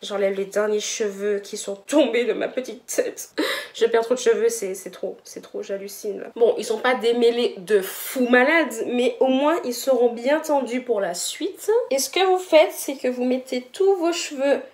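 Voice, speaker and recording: A woman's voice: fairly high pitch, moderate pace, darkish tone. A young woman chatting casually through a home hair tutorial, slangy and playfully dramatic. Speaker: hair vlogger.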